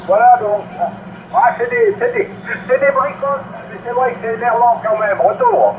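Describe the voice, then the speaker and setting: Man, high voice, friendly radio chat, muffled roomy transmission. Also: lively intonation, a little hiss or hum. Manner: friendly, measured, ends with "hein" and "voilà". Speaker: CB radio operator.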